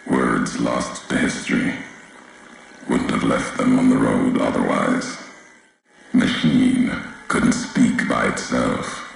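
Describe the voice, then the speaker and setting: Very deep, gravelly, brooding wanderer. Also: male voice, very echoey, slight background noise, full character voice, rough recording. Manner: slow, cryptic, solemn, clipped phrases. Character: grim, weary, brooding male wasteland courier, philosophical and menacing. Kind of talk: scripted video game character voice lines.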